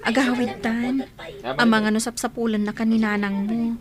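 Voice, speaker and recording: Woman doing a young ilocano girl in love, high voice, shy and anxious, hesitant, radio drama. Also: level tone, fairly quick, natural voice. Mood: bashful. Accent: Ilocano Filipino. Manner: coy, hesitant, emotive.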